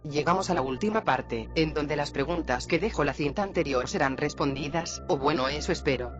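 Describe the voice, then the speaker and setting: A man with a somewhat high-pitched voice narrates a video. He gives a story recap with commentary, describing events as they unfold. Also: fairly quick, lo-fi sound, over music.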